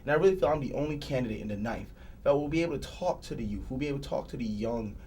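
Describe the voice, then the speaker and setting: A young man, a candidate on a panel show. He argues earnestly in long runs, at an ordinary pitch. Faint noise sits behind him. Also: animated, very fast, darkish tone. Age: 20s. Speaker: council candidate guest. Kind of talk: political discussion.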